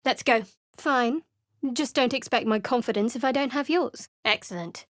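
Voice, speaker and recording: A woman's voice: fairly high pitch, normal speed, fairly bright tone, no room sound, close to an everyday voice. An actress voicing a guarded, sardonic young female cleric in a video game, with a dry, sarcastic delivery. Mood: wry. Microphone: close studio mic.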